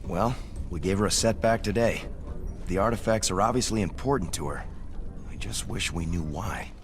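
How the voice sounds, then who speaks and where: A dutiful young starship officer, played by a man in a game cutscene. His voice is low, careful and formal, and there is noticeable ambient noise behind it. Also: moderate pace, close to an everyday voice. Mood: serious, caring. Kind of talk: sci-fi game cutscene acting.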